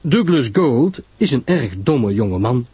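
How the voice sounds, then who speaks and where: Man acting a husky, measured, knowing detective. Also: medium pitch, sing-song, dark tone, dry recording, muffled recording, exaggerated voice. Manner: measured, grave, didactic. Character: calm, sententious male detective, measured and knowing.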